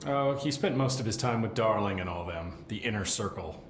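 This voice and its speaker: Video game supervisor, played by a man: middle-aged, jaded and sardonic, low voice with lively swings. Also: fairly quick, roomy sound, a little hiss or hum, close to an everyday voice. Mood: dry, grumbling. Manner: sardonic, rambling, dry asides. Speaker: containment sector supervisor. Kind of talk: video game cutscene acting.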